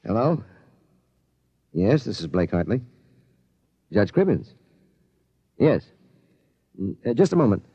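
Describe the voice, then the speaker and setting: Man acting an anxious father, animated, slightly dull recording. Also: on the low side, husky, full character voice. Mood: doubtful, hesitant. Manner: doubtful, halting, fretful. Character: hesitant, anxious father of a thirteen-year-old boy. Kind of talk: old-time radio drama acting.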